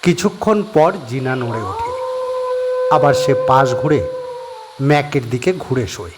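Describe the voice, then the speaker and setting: A male narrator reading a scripted horror story in a steady, descriptive way. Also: medium pitch, a little slow, heavy background noise.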